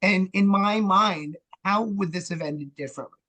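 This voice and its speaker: American guy with a fairly high voice, casual podcast chat, animated, kinda thinks out loud in bits. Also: moderate pace. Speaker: actor, director and playwright, podcast guest. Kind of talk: casual conversational interview.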